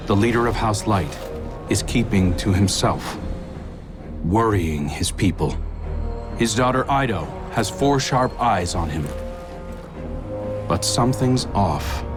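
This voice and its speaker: An actor playing an earnest, duty-bound hunter commander in a science-fiction game, briefing in a measured, serious way. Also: male voice, lowish voice, animated, music in the background. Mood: grave, resolute. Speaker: mission commander. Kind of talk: scripted game narration and mission briefing.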